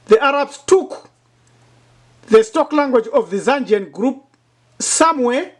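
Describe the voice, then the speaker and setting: A woman's voice: high-pitched, expressive, unhurried. A woman steadily reading a dense, academic passage aloud.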